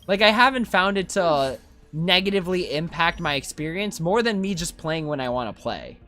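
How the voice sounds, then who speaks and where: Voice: medium pitch, very animated, moderate pace, pushed and bright. A streamer giving casual, rambling, easygoing commentary while playing a video game. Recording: game music behind voice. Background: video game music.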